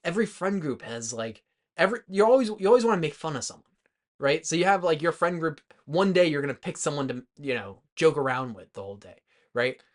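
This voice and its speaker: A man co-hosting a movie podcast, talking in a loose, informal way with lots of halting filler words as he retells things. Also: on the high side. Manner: informal, heavy use of "like", halting, animated retelling. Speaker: podcast co-host. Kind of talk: rowdy casual movie chat.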